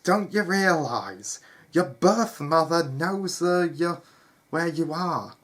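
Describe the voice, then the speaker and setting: A storyteller voicing a protective older brother, an anxious and sorrowful young nobleman who speaks earnestly and haltingly. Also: medium pitch, fairly even intonation, a bit bright, lightly acted. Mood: urgent worry.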